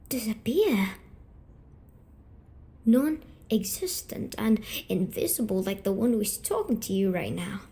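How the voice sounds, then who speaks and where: A woman voicing a gentle, wounded female sleep paralysis demon in an ASMR roleplay, coaxing softly and deliberately with breathy half-whispers. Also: fairly high pitch. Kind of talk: slow ASMR storytelling roleplay.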